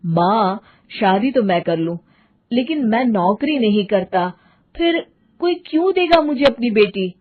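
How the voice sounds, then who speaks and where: A woman's voice: on the high side, lively intonation, a little slow, phone-quality sound. A woman voicing a meek, obedient young man, a son who speaks in a mild, deferential way. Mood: doubtful.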